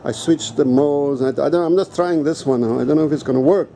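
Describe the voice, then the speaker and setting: A man's voice: on the high side, quick pace, vocal fry, dark tone, a little hiss or hum. A native Afrikaans-speaking man vlogging outdoors in a casual, rambling and blunt way, swearing now and then. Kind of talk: casual rambling vlog talk.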